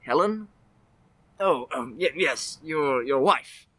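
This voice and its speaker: A man playing a bitter, miserly old man in a radio play, gruff, scoffing and theatrical. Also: on the high side, lively intonation, slow, pushed and bright, no room sound, full character voice. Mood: dismissive.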